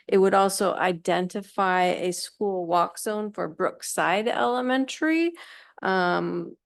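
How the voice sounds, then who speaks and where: A woman staffer lays out a resolution for the council in a clear, explanatory and polite way. The recording is dry and close, with no room echo. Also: medium pitch. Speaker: city staff presenter. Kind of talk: formal briefing to council.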